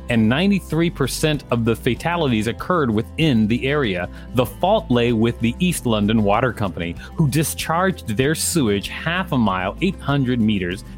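A man co-hosting a podcast, reading an article aloud and adding playful, mock-indignant asides. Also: mid-range voice. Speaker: podcast co-host.

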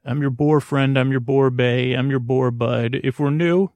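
A man in his forties with a creaky voice, hosting a sleep podcast in a halting, lulling, meandering bedtime monologue full of tangents. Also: medium pitch, steady tone, darkish tone, no echo. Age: 40s. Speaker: podcast host. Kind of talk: rambling bedtime monologue to help listeners sleep.

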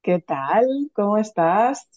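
A woman's voice: mid-range voice, very animated, slow pace, darkish tone, no room sound. A woman hosting a podcast interview over a video call, chatty and enthusiastic. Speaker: podcast host. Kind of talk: casual interview. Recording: dull, lo-fi, dry sound.

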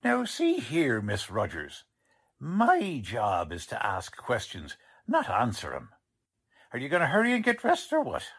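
An actor playing a plodding police sergeant who talks in folksy, ungrammatical street slang, in the style of a 1940s radio drama. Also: male voice, mid-range voice, huge pitch swings, a little slow, a bit bright, breathy edge, full character voice. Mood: impatient. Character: blunt, plodding middle-aged male police sergeant.

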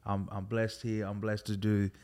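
An Australian man in his thirties from Sydney, a hip hop artist talking as a guest in a relaxed, rambling way, peppered with "like" and "bro". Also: on the low side, moderate pace. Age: 30s. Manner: laid-back, loose and rambling, full of "like" and "bro". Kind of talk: casual conversation.

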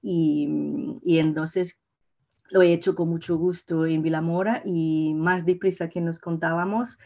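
A woman's voice: on the low side, steady tone, unhurried, phone-quality sound. A native Portuguese woman with a Portuguese accent giving conversational interview answers as a podcast guest, effusive and searching for words. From Portugal, born in Mozambique. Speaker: podcast guest, hotel PR and social media director.

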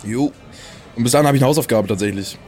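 An actor playing a guarded, awkwardly grateful young man in a naturalistic audio drama, casual, halting and colloquial. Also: medium pitch, a little mellow, soft background music, lightly acted. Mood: tentative.

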